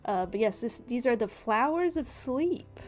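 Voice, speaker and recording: A woman's voice: fairly high pitch, expressive, unhurried. A woman improvising as game master and explaining things conversationally in a tabletop roleplaying game. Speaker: game master voicing a shopkeeper in a tabletop RPG podcast.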